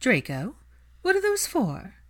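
A woman's voice: a little high, huge pitch swings, measured pace, fairly bright tone, voice pushed up, exaggerated voice. A woman voicing a stern, imperious older aristocratic mother in an audiobook story, speaking formally and emphatically. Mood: imperious, probing.

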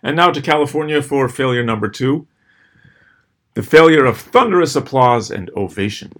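A middle-aged man reading scripted personal stories as host, with a dry, wry, measured delivery and deliberate pauses. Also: medium pitch, lively intonation, fairly bright tone. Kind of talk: scripted first-person storytelling.

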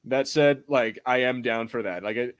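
A man with a medium-pitched voice talks as a podcast host in casual conversation. He rambles loosely and irreverently, dropping swear words as he goes. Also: normal speed. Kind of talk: casual chat about fantasy film and TV.

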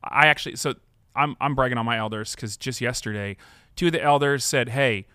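A man's voice: medium pitch, brisk, pushed and bright, clear, resonant tone, dry recording, studio quality. A male pastor co-hosting a podcast, talking casually and enthusiastically with slang. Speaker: pastor, co-host.

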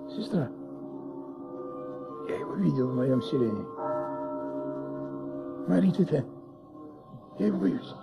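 An actor in a Soviet radio play playing a gruff, feverish and weary police inspector who talks tersely, muttering at times. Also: male voice, fairly high pitch, expressive, slow pace, long pauses, heavily acted. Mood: delirious, mumbling. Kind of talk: Soviet radio drama acting. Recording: muffled old radio recording, music behind.

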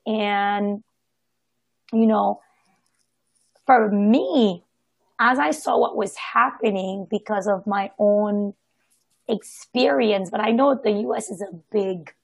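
A woman from Haiti giving a casual, reflective personal account, halting and often circling back to correct herself. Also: medium pitch, vocal fry, husky, no echo. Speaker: participant sharing personal experience in a group discussion.

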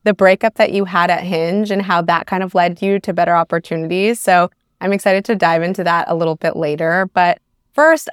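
A woman's voice: fairly low pitch, clear, resonant tone, no room sound, studio quality. A woman podcast host interviewing her guest in an upbeat, enthusiastic way. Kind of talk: interview hosting.

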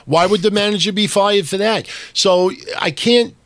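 Emphatic, slightly hoarse male podcast host. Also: high-pitched, fairly bright tone, no echo. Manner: quick, emphatic, talks over co-host. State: a little hoarse. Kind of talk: casual wrestling podcast chat.

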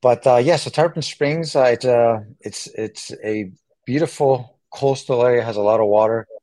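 A man in his forties, born in Greece, chatting as an interview guest and often pausing on fillers and restarts. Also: mid-range voice, warm tone, dry recording. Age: 40s. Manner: halting, many fillers and restarts. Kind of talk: casual interview chat.

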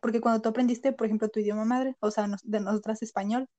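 A woman's voice: a little high, steady tone, dark tone, no room sound. A young Mexican woman co-hosting a casual podcast chat, quizzing the others and explaining things. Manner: quizzing, explanatory, quick to laugh. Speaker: podcast co-host.

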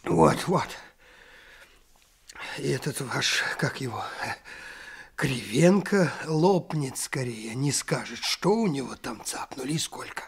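An actor playing a cornered, evasive crime boss in a radio drama, husky and sardonic, stalling as he speaks. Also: mid-range voice, lively intonation, takes it slowly, heavily acted. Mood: defiant, sardonic. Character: cornered criminal boss under interrogation, evasive and defiant. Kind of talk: Soviet-style radio drama acting.

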